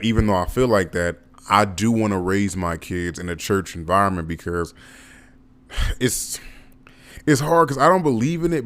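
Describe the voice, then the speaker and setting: A young American man speaks in a low-pitched voice as a podcast host. His delivery is casual and slangy, wandering from thought to thought with blunt language and plenty of swearing. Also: a bit bright. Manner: blunt, profane, rambling.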